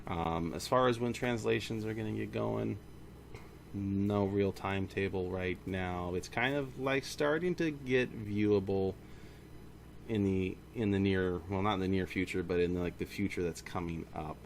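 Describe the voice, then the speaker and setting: Male indie developer, low fry-edged voice, informal rambling update delivery, faint noise behind. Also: normal speed. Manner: informal, rambling, self-correcting. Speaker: indie game studio founder. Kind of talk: casual monthly studio update to camera or mic.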